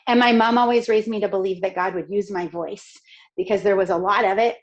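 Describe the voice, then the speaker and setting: A middle-aged woman from Canada with a raspy, crackly voice, talking in an outgoing, expressive way as a podcast guest. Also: medium pitch, a little fast, muffled recording. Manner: outgoing, expressive, laughs often. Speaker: podcast guest, speaker and author. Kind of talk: upbeat conversational interview.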